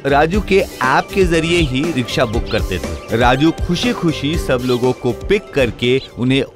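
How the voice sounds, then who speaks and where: Guy narrating a cartoon tale, normal pitched voice, music playing under it. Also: animated, measured pace. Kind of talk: scripted Hindi cartoon story narration.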